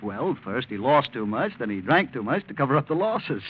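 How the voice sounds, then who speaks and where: A man's voice: medium pitch, big pitch swings, moderate pace, close to an everyday voice. An actor playing a cocky young male blackmailer in 1940s radio drama style, slick, taunting and falsely reassuring. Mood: breezy, teasing. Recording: muffled, noisy old radio recording.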